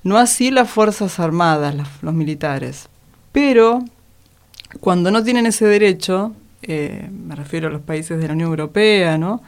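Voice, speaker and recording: A woman with a Rioplatense Spanish accent explaining as an expert radio guest, deliberate, often checking with short tag questions. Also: on the low side. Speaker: interview guest, academic expert. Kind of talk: explanatory radio interview talk.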